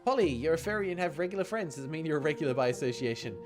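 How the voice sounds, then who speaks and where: A man streaming a game, chatty and expressive as he comments and reads the text aloud. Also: on the high side, rapid-fire. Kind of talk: livestream chat and read-aloud game commentary. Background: game music.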